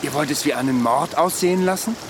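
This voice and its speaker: An actor playing a world-weary immortal former soldier in a radio drama, speaking with dry courtesy and archaic wording. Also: male voice, a little high, fast talker, noisy background, close to an everyday voice. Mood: matter-of-fact. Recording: music and watery ambience behind voice.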